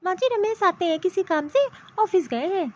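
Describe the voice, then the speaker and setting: A woman's voice: very high voice, expressive, moderate pace, a little mellow. A woman voicing a young daughter-in-law in a cartoon family story, offhand and defensive as she makes excuses. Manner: offhand, defensive, brushes complaints aside. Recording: dull, lo-fi cartoon voice track.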